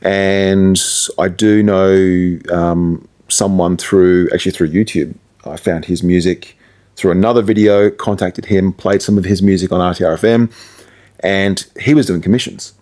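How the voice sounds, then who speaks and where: A man's voice: low-pitched, steady tone, measured pace, mellow. A man with an Australian accent talking casually as a guest in an interview about his work as a presenter and musician. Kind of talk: casual conversational interview talk.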